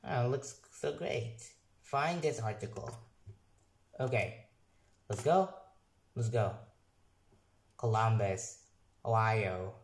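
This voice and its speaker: A young man who is not a native English speaker, casually reviewing software on his computer and reading text aloud haltingly, restarting and correcting words. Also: fairly low pitch, reverberant. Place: room at a computer.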